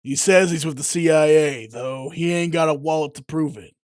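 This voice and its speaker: A man's voice: a little high, moderate pace, a little mellow, no room sound, clean studio sound, close to an everyday voice. A man playing a blunt, streetwise, world-weary police detective in a comic book audio drama. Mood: brusque, sardonic. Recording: music behind the voice.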